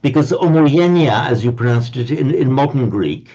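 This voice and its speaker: A man's voice: medium pitch, lively intonation, normal speed, warm tone, highs cut off. An older man teaching a language class, playfully pedantic and prone to stumbling repetitions.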